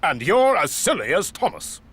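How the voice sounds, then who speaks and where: A stern older railway boss in a children's cartoon. He scolds pompously in a slightly high voice, with hugely animated intonation. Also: moderate pace, husky, exaggerated voice. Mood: stern, scolding. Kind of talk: children's cartoon character acting.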